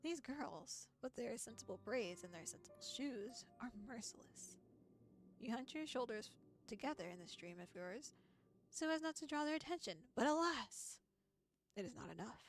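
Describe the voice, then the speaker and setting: A woman voicing an arch, formal female narrator, reading visual novel text in a deliberate, dramatic way. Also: on the high side, lively intonation, soft background music. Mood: dramatic, taunting. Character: arch, ornate female narrator of a dark dream tale.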